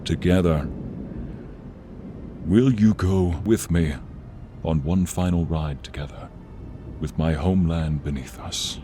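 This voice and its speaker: A man voicing a noble, loyal dragon with a deep voice, speaking in a solemn, grandiose way in a fantasy ASMR roleplay. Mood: solemn, earnest. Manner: solemn, grandiose, deliberate storytelling delivery. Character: noble friendly male dragon, earnest and brave, loyal companion. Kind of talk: fantasy ASMR roleplay, dramatic monologue to listener. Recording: clear storm noise behind the voice. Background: rain, thunder and rumbling storm ambience.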